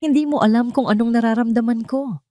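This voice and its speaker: A woman's voice: on the high side, a little fast, warm tone, dry recording, clean studio sound, voice pushed up, lightly acted. Woman voicing an anguished, determined male doctor. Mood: angry. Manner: intense, emotional.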